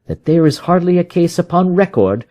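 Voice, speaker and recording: A man's voice: high voice, lively intonation, normal speed, muffled recording. A man from Arizona with an American accent, reading a scripted story aloud as an audiobook narrator. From Arizona, United States. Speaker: audiobook reader.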